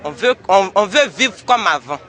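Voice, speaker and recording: A woman with an Ivorian accent, a local resident giving halting testimony outdoors. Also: medium pitch, expressive, fairly bright tone. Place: outdoors in a town. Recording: outdoor, faint crowd behind.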